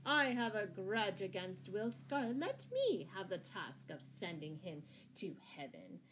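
A woman reading a storybook and voicing an old male pilgrim with a shrill, cracked voice and a grudge. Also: sing-song, measured pace, fairly bright tone, slight background noise, rough recording. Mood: sly, pleading.

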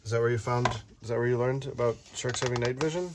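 A man's voice: medium pitch, steady tone, quick pace, noisy background. A man reading a children's book aloud with casual, playful chat, explaining things simply to a child. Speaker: parent reading aloud and host. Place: indoors at a tabletop, book filmed from above.

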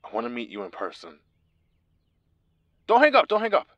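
A man's voice: fairly high pitch, huge pitch swings, moderate pace, a bit bright, no echo, muffled recording, exaggerated voice. A man playing a nervous, secretive corporate lawyer in an audio drama, speaking in an urgent, guarded, pleading manner. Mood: pleading, agitated. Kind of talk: audio roleplay acting, one side of a phone call.

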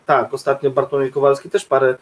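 A man's voice: medium pitch, little pitch movement, fast. A middle-aged man giving casual sports opinions as a pundit on a video call. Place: indoor room, video call. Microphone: video call microphone.

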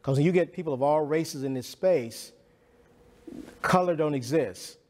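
A man's voice: a little high, unhurried, a little mellow. A man in a documentary interview speaking earnestly and casually about his beliefs in theater. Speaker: theater practitioner.

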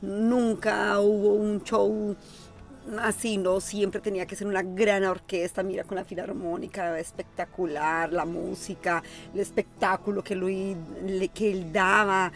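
A woman's voice: medium pitch, flat delivery, unhurried, vocal fry. A Colombian woman in her forties with a Colombian Spanish accent, co-hosting a music reaction chat in a small room, talking earnestly with many fillers. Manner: emotional, earnest, talks at length with many fillers. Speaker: co-host of a music reaction channel. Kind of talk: casual chat.

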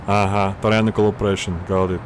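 Action-hero cop played by a man: very low voice, unflappable wisecracker, radio play over noisy street sound. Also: fairly quick, natural voice. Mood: cool, deadpan. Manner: deadpan, nonchalant. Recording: noisy city and traffic background.

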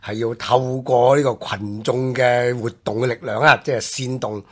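A man's voice: medium pitch, steady tone, moderate pace, husky. A man with a Hong Kong Cantonese accent co-hosting a history discussion, explaining in conversational, list-like phrases. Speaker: radio co-host.

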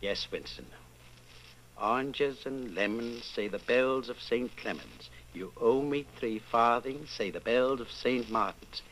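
A man playing a calm, authoritative underground leader, speaking gravely and deliberately in an old-time radio drama. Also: medium pitch, a little hiss or hum, lightly acted, low-quality recording. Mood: slow, solemn. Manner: measured, deliberate, solemn.

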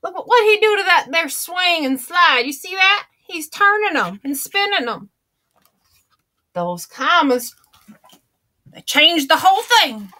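Woman playing old grandma, folksy, drawling, unhurried, high bright voice, storybook read-aloud. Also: sing-song, full character voice. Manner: chatty and theatrical, gasping and exclaiming.

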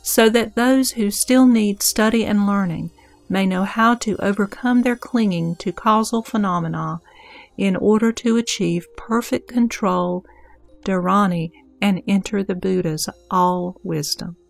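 A woman playing a reverent male disciple pleading with his master in a scripture audio drama, formal and measured. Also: medium pitch, gravelly. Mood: devout pleading. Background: music.

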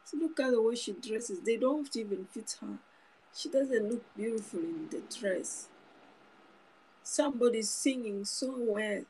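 A woman's voice: a little high, unhurried, a little mellow, a little hiss or hum, low-quality recording. A woman in her thirties giving an informal, earnest sermon to her phone camera in a small room, often repeating and restarting phrases. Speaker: preacher. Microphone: phone camera close up.

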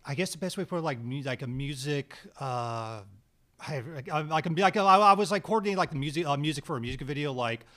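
A man being interviewed about his film work, talking casually in a halting, rambling way with many restarts, recorded on a desk stand mic in a small studio. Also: on the high side, a bit bright. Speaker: guest actor and screenwriter.

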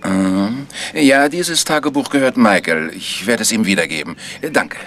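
An actor playing a protective, proud village organ teacher, earnest and measured, in a German radio mystery. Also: male voice, lowish voice, expressive, fairly bright tone.

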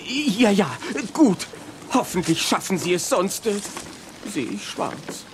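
A high-voiced, nervous young prison guard. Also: male voice, big pitch swings, slow, noisy background, heavily acted. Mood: anxious, pleading. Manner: stammering, hesitant, anxious. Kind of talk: German children's radio play acting.

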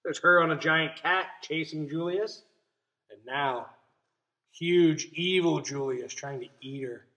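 A young man reading a children's picture book aloud as a teacher, expressively, pausing to explain and ask questions. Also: on the high side, sharp tone. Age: young adult. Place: small indoor room. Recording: roomy, echoey room sound.

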